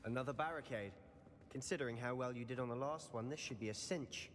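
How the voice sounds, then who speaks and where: An actor playing a confident young male wizard student who calmly persuades a friend to learn a forbidden spell. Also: medium pitch, fast talker, noisy background, natural voice. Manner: calm, persuasive, conspiratorial. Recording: game voice over loud music. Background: game music.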